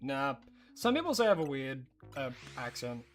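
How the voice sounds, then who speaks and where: An Australian streamer chatting casually while gaming, rambling and excitable, breaking into high squeals of surprise. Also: normal speed, faint music behind.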